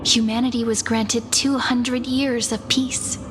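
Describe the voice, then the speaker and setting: Game casting: solemn epic narrator, higher-pitched, storytelling pace kept slow. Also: female voice, level tone, background noise. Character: measured female storyteller of an epic legend.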